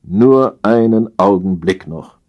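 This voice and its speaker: A man's voice: fairly low pitch, lively intonation, muffled recording. A man voicing a self-assured but henpecked emperor, preoccupied with command, in a comic audio story. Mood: absent-minded. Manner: slow muttering to wife, commanding to generals.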